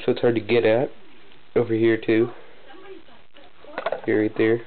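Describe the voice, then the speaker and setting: A man's voice: completely flat delivery, a little slow. A young man with a cold, sniffling, casually and hesitantly showing off a model he built. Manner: casual, hesitant, many fillers and restarts. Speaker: hobby builder showing a model. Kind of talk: casual show-and-tell vlog. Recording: tinny phone-like low-fi audio, faint noise.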